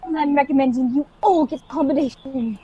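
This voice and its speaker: A woman's voice: high voice, moderate pace, dark tone, dull audio, close to an everyday voice. A woman playing an admiring, authoritative space officer in a radio play.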